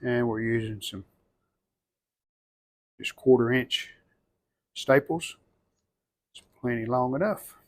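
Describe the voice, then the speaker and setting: Male hobbyist restorer, mid-range voice, plain-spoken and unhurried in tone, casual step-by-step commentary in short phrases. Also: medium pitch, a little mellow. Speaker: hobbyist restorer demonstrating a repair. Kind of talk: casual hands-on how-to narration.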